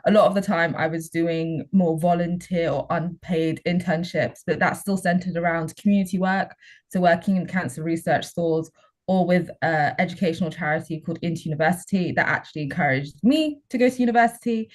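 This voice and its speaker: A woman's voice: on the low side, a little fast. A young woman, a final-year student, talking openly and upbeat on a panel. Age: young adult. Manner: open, upbeat, reflective. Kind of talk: panel discussion.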